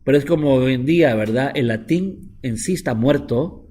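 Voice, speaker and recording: A middle-aged man teaching a relaxed Bible class as a rabbi, talking in a chatty, repetitive way and slipping English words into his Spanish. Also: medium pitch, normal speed, a little hiss or hum. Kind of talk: informal Bible lesson.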